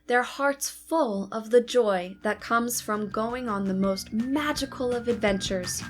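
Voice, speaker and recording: A woman narrating a children's storybook read-aloud as host, with expressive, deliberate phrasing for young listeners. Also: fairly high pitch. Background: faint music.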